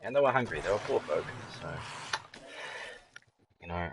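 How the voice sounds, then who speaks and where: Deep-voiced swearing man streaming a game. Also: expressive, normal speed, noisy background. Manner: swears freely, laughs often, rambling jokey asides. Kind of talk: live gameplay commentary.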